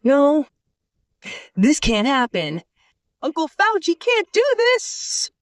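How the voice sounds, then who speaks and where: A woman playing a brave, loyal young village girl in an animated story, pleading emphatically in distress. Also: high voice, slow, dry recording, clean studio sound, distant mic, exaggerated voice. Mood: distressed protest. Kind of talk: animated moral story acting.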